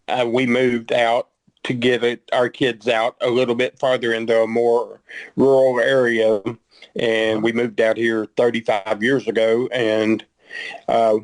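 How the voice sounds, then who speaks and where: A man in his sixties with a Texan accent, a podcast guest who talks in a slow, halting way, with many ums and restarts. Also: mid-range voice, no echo, poor audio. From Texas. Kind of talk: conversational interview.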